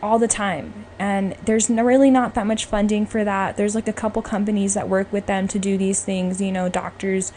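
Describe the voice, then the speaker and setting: A woman speaks over noticeable background noise in a mid-pitched voice. She hosts a podcast, giving her opinions in a casual, chatty way. Her delivery is informal and emphatic. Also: fairly even intonation, normal speed, hardly any pauses, a little mellow. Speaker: podcast host. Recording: clearly noisy background.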